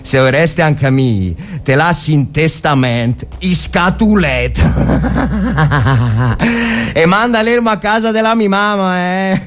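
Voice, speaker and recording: A man voicing a cheerful, doomed infantry soldier with a northern Italian dialect accent and a jaunty, drawn-out delivery in a war story. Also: sits high, a bit bright. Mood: forced cheer.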